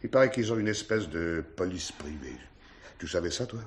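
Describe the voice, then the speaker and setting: Low-voiced secretive conspirator. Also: male voice, lively intonation, moderate pace. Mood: tense, confiding. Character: secretive, worried male conspirator confiding in his accomplice. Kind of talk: French radio play acting.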